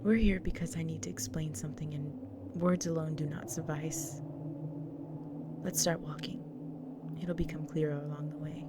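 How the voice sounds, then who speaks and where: A woman playing a gentle, protective vampire wife in an intimate audio roleplay, speaking tenderly in a breathy, near-whispered voice. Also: sits low, steady tone, close to an everyday voice. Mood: somber, gentle. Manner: tender, slow, soothing. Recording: noisy track with loud ambient sound.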